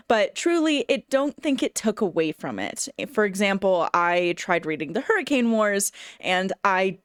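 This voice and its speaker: A woman's voice: medium pitch, dry recording, studio quality. A woman talking casually as a book reviewer, giving recommendations in an informal video style.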